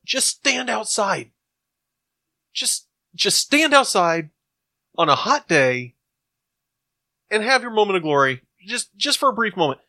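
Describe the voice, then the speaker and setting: A man's voice: high-pitched, big pitch swings, measured pace, pauses often, pushed and bright, no room sound, clean studio sound. A man co-hosting a podcast in casual, joking banter, giving his opinions in a playful way. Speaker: podcast co-host. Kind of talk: casual banter and review chat.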